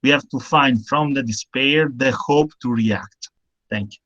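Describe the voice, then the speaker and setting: Italian man, halting, on a dull call. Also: medium pitch, a bit bright, dry recording. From northern Italy. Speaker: guest speaker on climate action. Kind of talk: online panel discussion answer, unscripted. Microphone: video call. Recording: dull, lo-fi video call audio.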